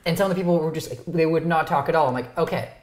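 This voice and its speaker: A woman's voice: low voice, very fast, darkish tone, reverberant. A woman whose first language was Romanian, hosting a casual dinner conversation at home, thoughtful and self-deprecating. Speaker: host. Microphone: lapel mic.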